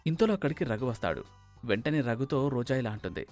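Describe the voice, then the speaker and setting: A man's voice: medium pitch, animated, fairly quick, darkish tone, soft background music. A man narrating a scripted story.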